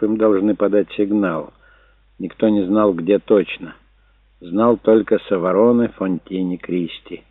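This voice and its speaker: A man's voice: lowish voice, no room sound. A man reading a novel aloud as a dramatic, scripted audiobook narration. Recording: dull narrow phone-like sound.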